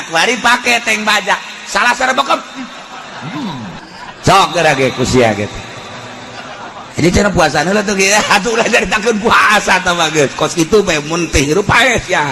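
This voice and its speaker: A man with a Sundanese accent preaching in an animated, earthy, joking storytelling style. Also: high-pitched, measured pace, vocal fry, pauses often, background noise. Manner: animated, breaks into shouts and laughter. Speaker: Islamic preacher. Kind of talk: humorous storytelling sermon.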